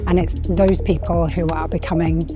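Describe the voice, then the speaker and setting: Lower-pitched woman with music behind. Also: level tone, moderate pace. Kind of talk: interview statement.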